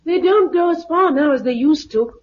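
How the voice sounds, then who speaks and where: A woman's voice: high voice, lively intonation, normal speed. An actress playing an eager, stubborn fourteen-year-old British girl in 1950s radio drama style. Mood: insistent. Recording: muffled 1950s radio recording.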